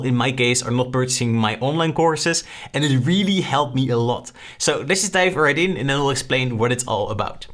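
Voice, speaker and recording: A young man with a Dutch accent, chatting casually and upbeat through a screen-share tutorial, often tagging "right?" onto his points. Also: mid-range voice. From Netherlands. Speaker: online course creator.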